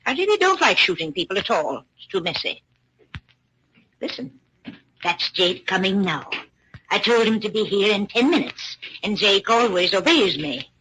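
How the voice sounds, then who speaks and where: A prim, genteel villainess in a vintage radio thriller. She threatens politely in a bright, sharp voice with expressive swoops. The old recording carries a faint hiss. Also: mid-range voice, moderate pace, rough recording. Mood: politely menacing. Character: genteel, coolly menacing villainess, polite about murder. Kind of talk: old-time American radio drama acting.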